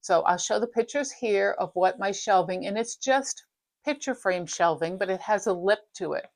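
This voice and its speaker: A woman hosting a cross-stitch video from her craft room, chatting casually and rambling a little, her voice slightly raspy from a dry throat. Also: mid-range voice, normal speed. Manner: chatty, rambling, self-interrupting. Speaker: flosstube host. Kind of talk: casual show-and-tell chat.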